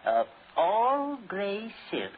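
An eerie old coffin salesman in a 1940s radio horror drama. He rambles in a folksy, chuckling, persistent way, with a lively rise and fall. The old recording is thin and slightly noisy. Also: high voice, extremely slow, phone-quality sound, exaggerated voice. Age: older.

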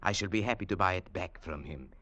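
An actor playing a suave, haughty Indian gem dealer in an old radio drama, speaking in a formal, pompous, silky manner. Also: male voice, low voice, fast, very noisy, natural voice. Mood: silky, courteous.

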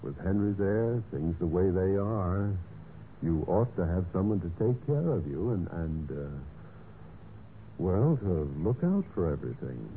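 Scheming suitor, low-pitched, animated delivery, smooth persuasion, golden-age radio drama, narrow noisy sound. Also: male voice, a little slow, exaggerated voice. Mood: tender wooing. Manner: smooth, calculating, earnestly persuasive. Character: scheming, smooth-talking male suitor narrating his own crimes. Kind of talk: 1940s-50s radio mystery acting. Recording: noisy band-limited old radio recording.

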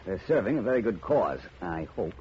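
Low-voiced radio detective, muffled recording. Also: male voice, very animated, brisk, slightly rough, exaggerated voice. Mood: cool, assured. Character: shrewd, self-assured male private detective, coolly tough. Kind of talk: 1940s-50s radio detective drama acting. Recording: muffled old radio recording, light hiss.

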